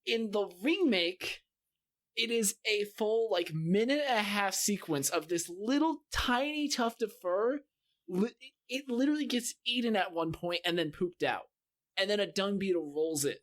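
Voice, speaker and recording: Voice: mid-range voice, animated, unhurried. A podcast co-host chatting casually and telling stories in an emphatic, exasperated, comic rant. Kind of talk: casual chat, comic ranting.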